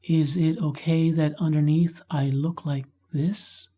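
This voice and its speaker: A man's voice: on the high side, flat delivery, takes it slowly, warm tone, voice pushed up. A man voicing a shy, earnest young woman, a vulnerable and curious audiobook heroine. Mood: tender, vulnerable.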